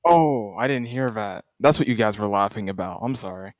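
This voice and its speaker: A young man from the US chatting casually over voice chat, soft-spoken and hesitant, with lots of fillers. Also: on the low side, animated, moderate pace, no echo. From United States. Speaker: group chat member.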